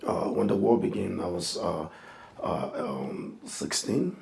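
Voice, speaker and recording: A man in his fifties, a pastor, telling his life story in a halting, earnest way with many restarts. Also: low-pitched, expressive, gravelly, a little mellow, a little hiss or hum. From Liberia. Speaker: pastor, guest. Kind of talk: personal testimony in an interview.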